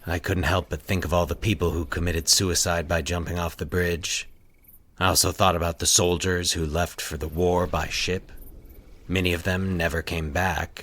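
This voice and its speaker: A man playing a solitary, sinister man in a horror story, solemn, measured and calculating. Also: sits low, fairly bright tone, slight background noise.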